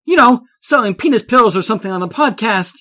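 Man voicing a nervous young guy, pleading, raised pitch, lively. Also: brisk, heavily acted. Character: young man who ran a podcast scam, nervous and pleading.

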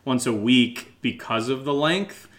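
A man with a medium-pitched voice co-hosts a casual question-and-answer podcast. He thinks out loud informally, a little hesitant, and leans on the word "like" as he goes. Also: a little slow. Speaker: podcast co-host. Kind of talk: casual unscripted Q&A chat.

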